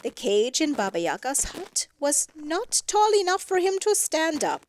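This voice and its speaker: A woman narrating a scripted fairy tale with expressive, deliberate emphasis. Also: high voice. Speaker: story narrator.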